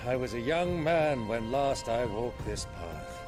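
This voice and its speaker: An actor playing a jovial, theatrical middle-aged noble uncle in a video game, grandiose and wry. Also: male voice, medium pitch, expressive, a little slow, music in the background, close to an everyday voice. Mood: cheerful, grand.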